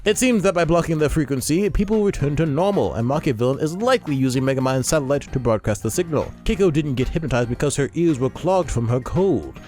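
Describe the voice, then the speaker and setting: A man's voice: a little high, expressive, quick pace, few pauses, darkish tone, faint music behind. A man narrating a cartoon recap in a dry, sarcastic way, recorded as a voice-over. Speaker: cartoon review host.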